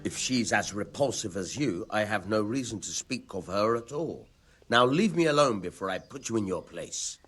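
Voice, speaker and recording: A man's voice: on the low side, animated, moderate pace, a bit bright, faint music behind. An actor playing a haughty old man in a game, formal and full of scorn. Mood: scornful. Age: older. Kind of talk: video game cutscene acting.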